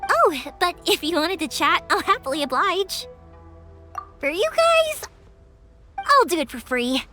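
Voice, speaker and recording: A young woman fireworks maker from an anime game. She speaks in a high, girlish and very animated voice, cheerful and chatty yet earnest. Soft music sits behind her. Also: measured pace, exaggerated voice. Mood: friendly, chatty.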